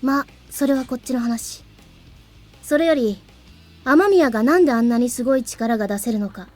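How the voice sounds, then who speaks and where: A woman's voice: fairly high pitch, fast talker, a little mellow, soft background music, close to an everyday voice. A woman voice acting a mysterious, knowing little boy in a visual novel. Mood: knowing, breezy.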